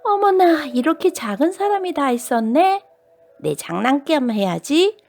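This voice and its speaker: Woman voicing a high, lively giant little girl. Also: unhurried, soft background music, full character voice. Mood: delighted. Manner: exclaiming, cooing at someone tiny. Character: giant young girl, delighted, playful, bossy-kind.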